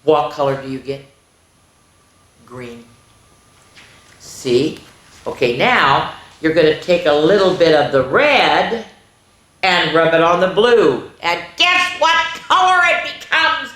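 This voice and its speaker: A woman's voice: sits low, slow, long pauses. Woman reading to kids, echoey room. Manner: animated, sing-song, asks the listeners questions. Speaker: children's story time host. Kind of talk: interactive picture book read-aloud for young children. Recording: roomy, echoey indoor sound.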